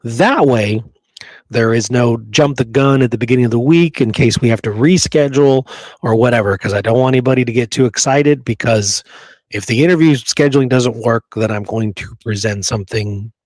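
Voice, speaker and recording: A man's voice: medium pitch, fairly quick, a little mellow, no echo. A man talking casually and informally as a podcast co-host.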